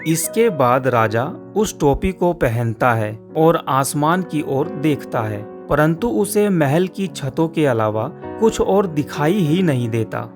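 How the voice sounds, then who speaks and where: A man's voice: a little high, animated, takes it slowly, hardly any pauses. A man narrating a children's cartoon story in a scripted storybook style. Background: soft guitar music.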